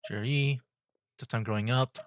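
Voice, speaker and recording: A man's voice: mid-range voice, steady tone, normal speed. A man giving casual live game commentary as a streamer, rambling as he thinks aloud.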